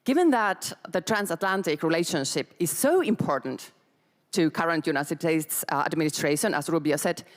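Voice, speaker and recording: A woman's voice: low voice, lively intonation, normal speed. A woman from Finland, putting a firm, pointed question to a panel from the audience. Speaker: audience member asking a question. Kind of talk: conference question from the floor. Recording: long reverb of a large hall.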